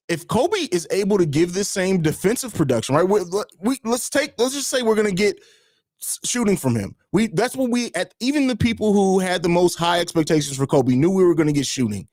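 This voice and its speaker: A hoarse man with a raspy voice hosting a live fan stream, talking emphatically and repeating himself, with casual swearing. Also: high voice, darkish tone, no room sound, clean studio sound. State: hoarse from screaming. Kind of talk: excited sports fan stream chat.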